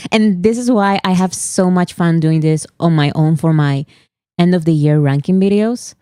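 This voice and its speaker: A woman hosts a casual chat in a slightly low voice, teasing and laughing as she presses on. The sound is dry and close. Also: normal speed, mellow, smooth, no room sound. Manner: teasing, laughs while pushing ahead. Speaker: host.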